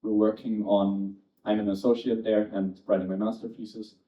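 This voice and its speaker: Man with a lowish voice at a panel. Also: level tone, normal speed, warm tone. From Germany. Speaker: panelist, master's student. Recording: echoey room, distant dull mic.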